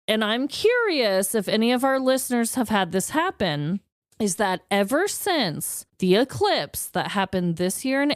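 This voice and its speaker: A woman co-hosting a podcast, chatting in a casual, comedic way, quick to react and tease, recorded on a studio mic. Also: on the high side, no room sound. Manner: expressive, quick to gasp and react. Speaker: podcast co-host.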